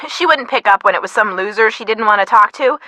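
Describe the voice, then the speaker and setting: A woman's voice: mid-range voice, fast talker, sharp tone, no echo, close to an everyday voice. A woman playing a wry, sarcastic, sensible teenage girl sidekick in a video game, with a dry, teasing delivery.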